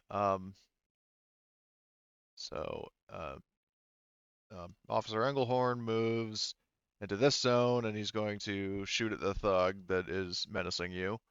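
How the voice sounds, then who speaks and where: Halting, low-voiced man running a game. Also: vocal fry, fairly bright tone, smooth, dry recording, highs cut off. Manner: halting, thinking aloud; sweary. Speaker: game master. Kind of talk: running a tabletop roleplaying game, casual narration.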